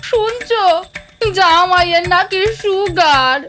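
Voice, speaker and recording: Woman acting a slow, high-voiced doting mother-in-law. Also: lively intonation, bright, forceful tone, faint music behind, heavily acted. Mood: dismayed. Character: doting, fussing middle-aged mother-in-law.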